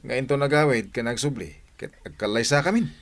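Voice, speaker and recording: A troubled young man, acted by a man, with a medium, everyday voice. He confides earnestly to a friend and sometimes breaks into loud bursts. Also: medium pitch, fairly quick, natural voice. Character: young man torn over an arranged engagement. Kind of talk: amateur radio comedy drama.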